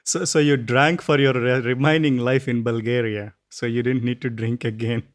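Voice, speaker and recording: A man hosting a podcast, loose and joking, with halting restarts. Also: mid-range voice, level tone. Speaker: podcast host. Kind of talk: casual podcast chat.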